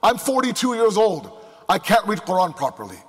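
High-voiced male preacher in a reverberant hall. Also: sing-song, normal speed, slightly rough. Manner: emphatic, with rhetorical questions and repetition. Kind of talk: sermon to a live congregation. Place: large indoor hall before a crowd. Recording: echoey hall with long reverb.

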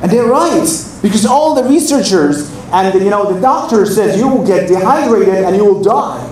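A man gives a storytelling sermon, throwing out rhetorical questions and then sinking into quiet asides. He sounds distant from the microphone, and the room rings with heavy echo. Vehicle noise is clearly audible underneath. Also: high-pitched, moderate pace. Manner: animated, swings from loud questions to quiet asides. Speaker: religious speaker addressing young listeners. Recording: very echoey room, far from mic, vehicle noise.

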